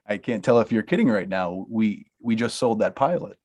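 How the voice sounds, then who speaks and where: A man's voice: fairly low pitch, darkish tone. A man reading the part of a blunt, money-minded talent manager in a comedy table read. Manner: brusque, dismissive, laughs through lines.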